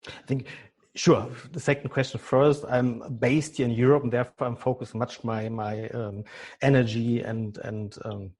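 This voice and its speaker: Husky German-accented man, halting speech. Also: mid-range voice, fairly even intonation, darkish tone. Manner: halting, frequent repeated words, non-native phrasing. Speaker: business consultant and coach. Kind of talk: podcast interview.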